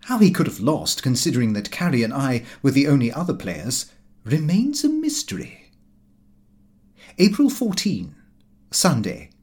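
A man's voice: mid-range voice, wildly swooping pitch, moderate pace, darkish tone. A man narrating a comic diary novel as an audiobook reader, in a prim, fussy, self-important manner.

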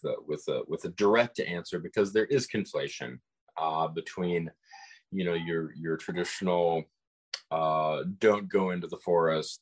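Deep, husky-voiced man. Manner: measured, thoughtful, frequent restarts. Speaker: podcast co-host. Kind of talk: conversational discussion of folklore.

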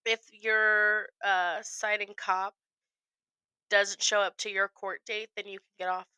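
A woman with a bright, crisp-edged voice, recorded close and dry. She chats casually as a podcast co-host, chiming in bluntly and swearing freely. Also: mid-range voice, level tone, slow pace, no room sound. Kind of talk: casual chat.

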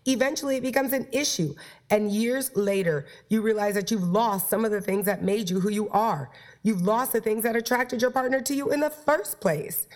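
A woman's voice: a little high, moderate pace. A woman hosting a playful, flirty talk show about sex and relationships, her voice stuffed up from a cold. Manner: playful, flirty, talks straight to listeners. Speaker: podcast host.

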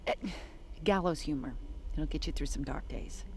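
An actress playing a tough, wry police detective in an audio drama, blunt, dry and commanding. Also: female voice, sits low, moderate pace, darkish tone, a little hiss or hum, natural voice. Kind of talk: scripted audio drama acting.

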